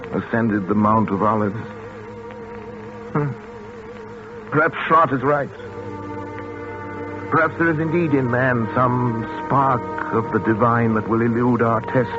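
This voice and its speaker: An actor playing a middle-aged, guilt-ridden surgeon father, speaking gravely in a literary, dramatic 1940s radio style. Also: medium pitch, lightly acted. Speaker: actor in a radio horror play. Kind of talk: 1940s radio drama acting, first-person narration. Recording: muffled old 1940s radio recording with music.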